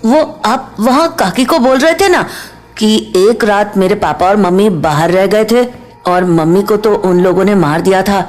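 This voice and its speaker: A woman voicing a timid, curious village boy in an audio drama, halting and stammering as he speaks. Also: mid-range voice, darkish tone, close to an everyday voice. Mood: hesitant, curious.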